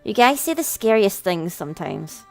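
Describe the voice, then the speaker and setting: A woman's voice: medium pitch, sing-song, normal speed, vocal fry, a little mellow, faint music behind. A woman voicing a weary, stubborn young man, a put-upon older brother with a dry, protesting manner. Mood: uneasy.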